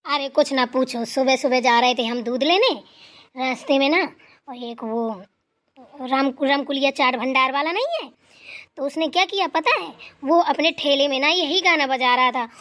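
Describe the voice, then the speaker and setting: A woman's voice: sits high, normal speed, a bit bright. Woman voicing a chatty old mother-in-law. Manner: rambling storytelling, scolding playfully. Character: elderly mother-in-law, chatty, teasing, fond.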